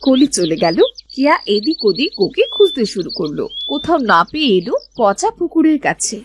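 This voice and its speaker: A woman's voice: sits high, big pitch swings, moderate pace, noisy background. A woman narrator telling a scripted children's-storybook style tale.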